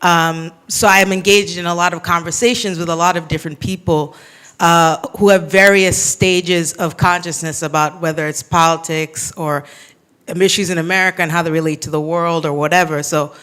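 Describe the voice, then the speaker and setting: High-voiced man from Kenya, smooth and clear. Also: fairly even intonation, normal speed, pushed and bright. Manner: hesitant, many fillers and restarts. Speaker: audience member asking a question. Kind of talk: public Q&A question. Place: bookstore event room.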